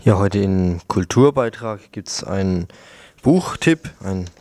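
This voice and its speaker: A man's voice: deep voice, animated, takes it slowly, darkish tone, dry recording. A man presenting a radio programme, reading from a script.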